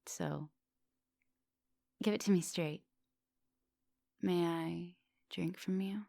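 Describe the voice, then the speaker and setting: Low, gravelly, seductive vampire professor woman acting. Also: fairly even intonation, slow pace, dark tone, dry recording, studio quality. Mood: hesitant, asking. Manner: breathy murmurs and whispers, coaxing pet names. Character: seductive female vampire professor, hungry yet gentle and polite. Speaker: ASMR roleplay voice actor. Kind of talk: intimate ASMR roleplay acting. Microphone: close microphone.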